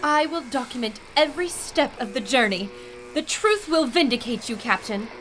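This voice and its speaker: A woman's voice: sits high, lively intonation, moderate pace, fairly bright tone, soft background music. An actress playing a serious, determined woman reporter, dramatic and earnest, in a retro adventure radio drama. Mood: sincere.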